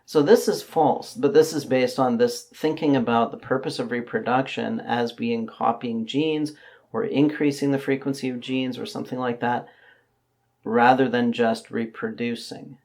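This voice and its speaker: Hoarse man, measured and analytical. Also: mid-range voice, fairly even intonation, darkish tone. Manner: measured, analytical, with frequent fillers and restarts. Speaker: channel host answering viewer comments. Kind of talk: casual spoken commentary.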